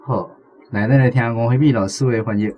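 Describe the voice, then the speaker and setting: A man's voice: medium pitch, brisk, highs cut off, a little hiss or hum. A man with a Taiwanese accent hosting a language lesson segment on the radio. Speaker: host of a translation quiz segment.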